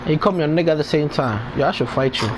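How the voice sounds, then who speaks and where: A man's voice: on the high side, fast talker. A man teasing his friends in casual chat while playing an online shooter, switching between English and Spanish. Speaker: gamer in party chat. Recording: noisy online party chat.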